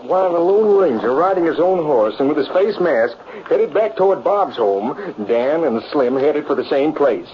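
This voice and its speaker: A male narrator of a vintage radio adventure. His dramatic scripted delivery is fairly high and very animated, on a dull, slightly noisy recording. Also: moderate pace.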